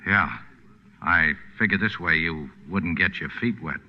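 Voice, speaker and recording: Tough deadpan skipper, few words, lowish voice, 1940s radio play, muffled sound. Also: male voice, a little slow, big-room sound. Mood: terse, cool. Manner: terse, deadpan. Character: tough, laconic mercenary male boat skipper. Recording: dull 1940s radio recording.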